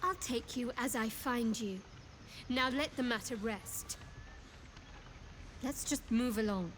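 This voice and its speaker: A woman's voice: on the high side, takes it slowly. An actress playing a guarded young woman cleric who brushes questions off curtly. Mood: evasive. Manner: curt, dismissive. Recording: faint background noise.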